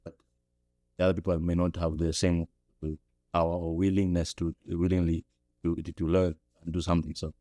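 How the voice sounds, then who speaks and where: A man's voice: fairly quick, no room sound, clean studio sound. A young man from Zambia, a podcast guest, reflecting thoughtfully with frequent restarts. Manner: thoughtful, many restarts, rises in pitch when animated. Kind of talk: reflective interview talk. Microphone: studio podcast mic.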